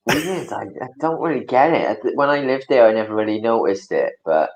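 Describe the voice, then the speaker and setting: A man's voice: medium pitch, brisk. A man from Wales, a podcast guest in casual, rambling chat. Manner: chatty, rambling, animated impressions.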